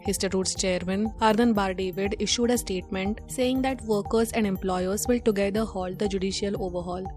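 A woman's voice: mid-range voice, fairly even intonation, normal speed, hardly any pauses, darkish tone, soft background music. A woman with an Indian English accent presenting a scripted news bulletin.

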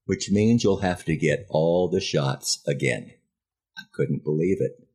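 A man's voice: deep voice, expressive, a little slow, mellow, breathy edge. An American man in his seventies telling a first-person memoir as a podcast host, in a wry, self-deprecating way that acts out bits of dialogue. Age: 70s.

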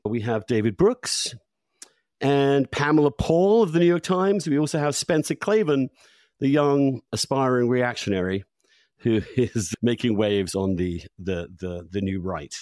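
A man's voice: mid-range voice, animated, measured pace, darkish tone, dry recording, studio quality. A man hosting a podcast, introducing his guest and asking eager, interrupting follow-up questions. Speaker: podcast host. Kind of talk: conversational interview.

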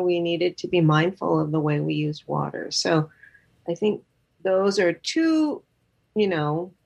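A film director, a woman, talks through her answers slowly and carefully, pausing with fillers and restarting her sentences. Her voice sits a little low. She speaks in a podcast interview. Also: creaky. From Los Angeles. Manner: hesitant, many fillers and restarts.

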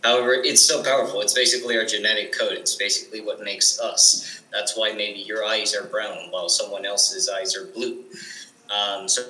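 A man's voice: fairly low pitch, little pitch movement, moderate pace, bright, forceful tone, reverberant, low-quality recording. A teenage boy answering interview questions eagerly, with frequent restarts and fillers. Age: teens. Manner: eager, restarts often, many likes and ums. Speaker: guest.

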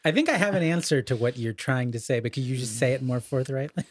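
Male podcast co-host, medium pitch, very dry sound. Articulate, analytical, academic wording in a conversational literary discussion. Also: expressive, quick pace, dry recording. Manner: articulate, qualifying, academic vocabulary.

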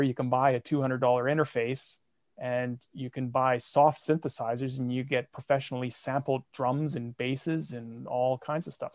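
A man's voice: mid-range voice, no echo, clean studio sound. A man guesting on a podcast, talking casually and chattily about making music at home. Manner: relaxed and chatty, with frequent "like" and light laughs. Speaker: guest, comedy fiction podcaster and songwriter. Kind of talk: casual interview chat.

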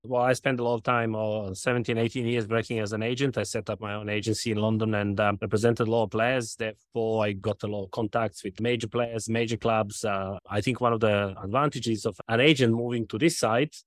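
Serbian-accented man, halting and low. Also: steady tone, no echo. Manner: halting, repeats words, frequent fillers. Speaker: sporting director of a soccer club. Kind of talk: podcast interview.

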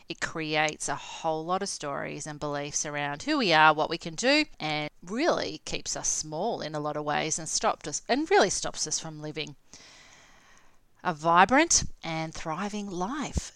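A woman's voice: low-pitched, a bit bright, clean tone, no room sound. A woman in her late forties with an Australian accent, talking casually and candidly as a host and coach sharing her own story. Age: late 40s. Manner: conversational, candid. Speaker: podcast host and health coach. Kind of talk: casual solo talk, personal storytelling.

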